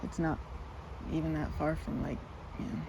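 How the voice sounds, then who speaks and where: A woman's voice: deep voice, little pitch movement, moderate pace, creaky, background noise. A woman playing a timid, sweet, rambling young woman in an ASMR roleplay, speaking to the listener with shy, hesitant stammers. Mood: shy chatty.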